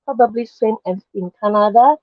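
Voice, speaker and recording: Halting woman from Indonesia, dry call audio. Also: fairly high pitch, no room sound, muffled recording. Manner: halting, searching for words. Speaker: entrepreneur and panelist. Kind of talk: casual group video call.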